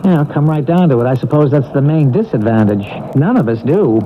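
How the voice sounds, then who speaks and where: An actor playing a fussy, timid, apologetic junior angel in a 1970s radio drama, stammering and hesitant. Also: male voice, mid-range voice, lively intonation, a little fast, natural voice. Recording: muffled, noisy old radio broadcast.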